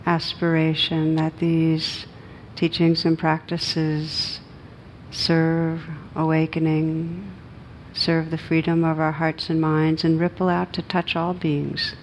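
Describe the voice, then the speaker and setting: Slow, low-pitched woman guiding meditation. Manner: gentle, unhurried instruction with long pauses. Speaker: meditation teacher. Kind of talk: guided meditation. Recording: steady background noise with low rumble.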